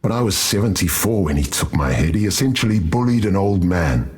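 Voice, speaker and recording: Very deep, gravelly jovial warrior. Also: male voice, lively intonation, fairly quick. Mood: amused, exultant. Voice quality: gravelly, husky. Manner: hearty, boastful, teasing.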